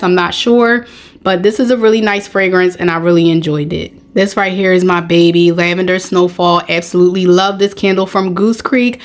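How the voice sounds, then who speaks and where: A woman hosting a casual product review vlog, talking in a matter-of-fact way, her voice a little raspy and hoarse from getting over being sick. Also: fairly low pitch. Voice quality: slightly raspy. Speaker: video host, product reviewer.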